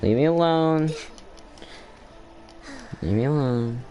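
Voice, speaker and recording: A man's voice: mid-range voice, slow pace, mellow, highs cut off, a little hiss or hum. A man giving casual commentary on his gameplay, rambling as he thinks aloud and trailing off mid-sentence. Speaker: gameplay streamer.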